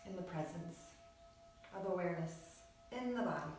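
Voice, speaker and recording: A woman teaching yoga nidra, guiding relaxation in a soothing, unhurried manner with short phrases and long pauses. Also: on the low side, animated, a little mellow. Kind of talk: guided relaxation meditation. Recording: very echoey room, distant mic, music behind. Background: music.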